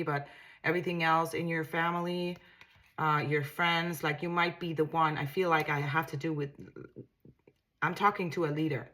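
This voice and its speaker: A woman's voice: low-pitched, a bit bright. A woman with a Danish accent, a tarot reader giving a casual reading to camera, musing aloud and often repeating and restarting her words in a reassuring way.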